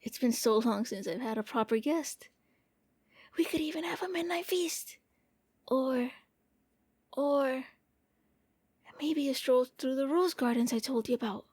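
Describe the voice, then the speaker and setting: A gentle young vampire prince voiced by a woman speaks in a lightly high, soft voice, recorded very dry. He is an eager, lonely host, coaxing and a little hesitant, with playful touches in an anime ASMR roleplay style. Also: a little slow, long pauses, slightly rough, dry recording. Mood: eager, shy.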